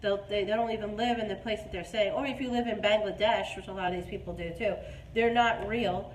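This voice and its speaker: A woman's voice: mid-range voice, fairly even intonation, a little fast. A woman in her forties hosting a dating advice show, chatting casually and emphatically in an echoey room. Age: 40s. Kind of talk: casual live chat. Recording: echoey room with clear background noise.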